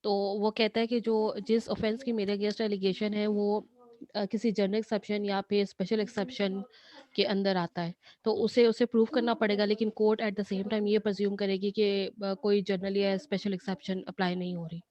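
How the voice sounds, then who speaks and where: Female law student, Pakistani accent, mid-pitched voice. Polite classroom questions and answers with English terms mixed in. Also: flat delivery, normal speed, darkish tone, dry recording. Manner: polite, mixes Urdu with English legal terms.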